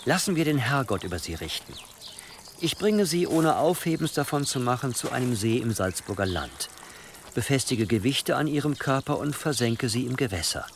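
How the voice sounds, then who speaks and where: A man's voice: mid-range voice, a little hiss or hum. An actor playing a ruthless, scheming churchman who speaks with formal, calculating deference in a German historical radio drama. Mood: coldly ruthless. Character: scheming, obsequious, ruthless churchman advising his bishop.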